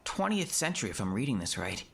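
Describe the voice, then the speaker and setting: A man's voice: on the low side, big pitch swings, fast, vocal fry, fairly bright tone, slightly rough, exaggerated voice. A man voicing a hesitant, helpful computer operator sidekick in an audiobook, talking in a tentative, casual way. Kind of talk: audiobook character dialogue.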